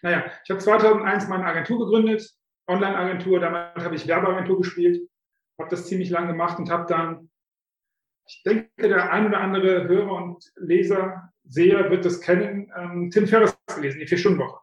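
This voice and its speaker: High-voiced man in an echoey room. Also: steady tone, brisk, highs cut off. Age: 50s. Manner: chatty, self-deprecating, frequent filler sounds. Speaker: guest, author coach. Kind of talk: casual interview storytelling.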